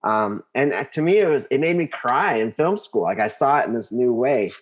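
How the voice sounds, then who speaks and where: A man being interviewed, telling his story conversationally and haltingly, repeating words as he thinks. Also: medium pitch, animated. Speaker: interview guest, composer.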